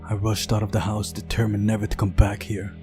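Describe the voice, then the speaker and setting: A man reading a visual novel aloud as a dramatic narrator, with soft guitar music behind him. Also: on the low side, completely flat delivery, a little fast. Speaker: game playthrough narrator.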